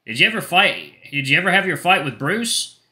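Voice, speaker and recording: A man voicing a caring male coach who gently encourages his student. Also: high-pitched, very animated, a little fast, pitched above the performer's own voice, full character voice. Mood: concerned. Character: caring male coach, warm proud mentor. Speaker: trainer of the narrator. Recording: soft music behind.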